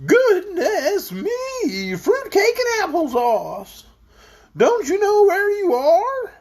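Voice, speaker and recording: Woman doing a wobbly, shrill, eccentric bumpy man. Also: huge pitch swings, darkish tone, exaggerated voice. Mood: astonished. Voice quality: wobbly, shaky, produced by shaking the head. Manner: drawn-out, exclamatory, self-important. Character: bumpy man, eccentric hospitable storybook oddball.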